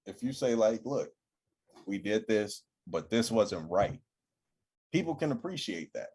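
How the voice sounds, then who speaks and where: Young guy on a podcast, kinda husky low voice, tells stories and does everybody's lines. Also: measured pace. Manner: animated storyteller, acts out quoted people. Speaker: podcast co-host. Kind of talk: casual chat.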